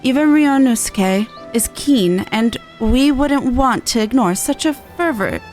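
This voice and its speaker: Woman voicing a high-pitched, courtly, earnest festival envoy. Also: expressive, a little mellow, faint music behind. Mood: encouraging. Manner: formal, archaic, measured phrasing. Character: earnest, courtly envoy of a Far Eastern festival, formal and kindly. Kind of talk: reading game dialogue aloud.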